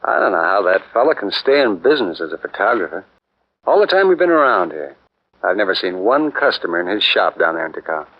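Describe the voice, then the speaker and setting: A man's voice: low voice, big pitch swings, brisk, heavily acted. An actor playing a casual, curious male officer in a 1940s radio drama. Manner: casual, conversational. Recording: dull old radio broadcast.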